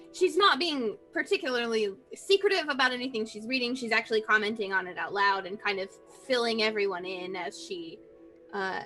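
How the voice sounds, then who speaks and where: A woman's voice: mid-range voice, fairly bright tone. A woman playing a tabletop roleplaying game, describing her character's actions off the cuff. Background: background music.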